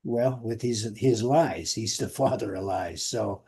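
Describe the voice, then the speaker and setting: A man's voice: lowish voice, a little slow, a little mellow, breathy edge. A man co-hosting a casual radio show, talking in a folksy, earnest way with colloquial phrasing. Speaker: podcast co-host. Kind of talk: casual radio show talk with listener appeals.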